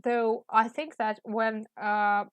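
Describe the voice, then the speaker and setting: A woman's voice: fairly high pitch, little pitch movement, takes it slowly, clear, resonant tone, no echo. A young woman from Russia, speaking English with a Russian accent, chatting casually and hesitantly with many fillers and restarts. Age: young adult. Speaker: student. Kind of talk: casual chat while drawing.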